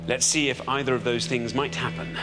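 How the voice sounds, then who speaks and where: A British man presenting a television quiz show, deliberate in his delivery and building suspense as he goes. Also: mid-range voice, fairly even intonation, loud music behind the voice. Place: television studio.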